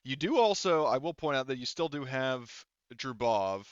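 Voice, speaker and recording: A man's voice: medium pitch, big pitch swings, moderate pace, clear, resonant tone, no echo. Muffled, dry-sounding man running a game. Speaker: game master. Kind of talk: casual tabletop roleplay narration.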